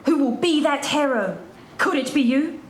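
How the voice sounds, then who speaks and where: A woman's voice: sits high, lively intonation, moderate pace. A woman playing a dramatic TV news reporter in a stage pantomime, projecting formally in a declamatory way. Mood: grave, dramatic. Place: indoor theatre stage. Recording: echoey theatre stage, ambient noise.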